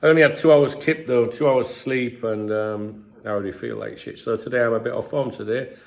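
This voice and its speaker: A middle-aged English man with a stuffy, congested voice and a sore throat, chatting casually as a football channel host and often clearing his throat. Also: mid-range voice, fairly even intonation, fairly quick. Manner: chatty, frequent throat clearing, filler-heavy. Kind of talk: casual commentary to camera.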